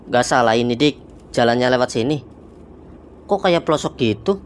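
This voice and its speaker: Casting: male actor as whiny, impatient young cartoon pal, blunt Jakarta slang, average-pitched voice. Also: lively intonation, moderate pace, slight background noise, close to an everyday voice. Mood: grumbling. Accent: Jakarta Indonesian. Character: grumbling, impatient young man, wary travel buddy. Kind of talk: colloquial cartoon dialogue.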